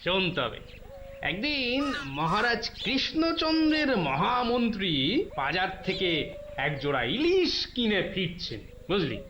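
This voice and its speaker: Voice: medium pitch, sing-song, fairly bright tone, a little hiss or hum. An actor voicing a jovial grandfatherly village storyteller in a cartoon, teasing and avuncular. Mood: storytelling. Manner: avuncular, teasing, drawn-out exclamations. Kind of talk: animated cartoon character acting and storytelling. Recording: music behind the voice.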